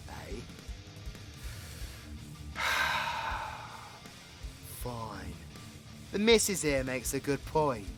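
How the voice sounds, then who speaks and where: A man's voice: on the high side, pushed and bright, exaggerated voice. A British man playing a cocky, foul-mouthed, brawling supervillain in an audio roleplay, mocking and crude. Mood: grudging menace. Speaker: voice actor.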